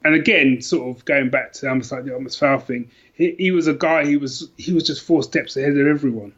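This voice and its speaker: Male podcast guest, mid-to-low pitch, informal book talk, slightly lo-fi sound. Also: fairly quick, a bit bright. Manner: informal and colloquial. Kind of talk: casual book chat.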